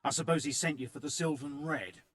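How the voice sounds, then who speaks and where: A man's voice: fairly high pitch, brisk, a bit bright, close to an everyday voice. A man playing a suspicious guard in a video game, questioning and sceptical. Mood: amused, relenting. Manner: sceptical, interrogating. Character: suspicious male guard, gruff but easily won over. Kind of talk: video game cutscene acting.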